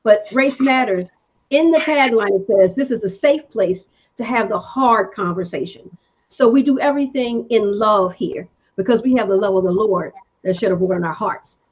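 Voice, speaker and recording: A woman hosting a talk show who laughs easily and speaks with religious warmth about love and the Lord. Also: mid-range voice, normal speed, husky, dull audio. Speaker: show host and company owner. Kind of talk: warm faith-based talk show hosting.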